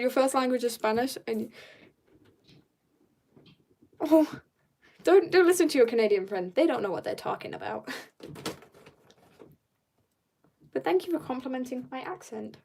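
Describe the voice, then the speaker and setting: Young woman, slightly high-pitched, casual livestream chatter, rambling, giggly, slangy asides. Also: expressive, normal speed, gravelly, long pauses, darkish tone, a little hiss or hum. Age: teenager or young adult. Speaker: streamer drawing and chatting.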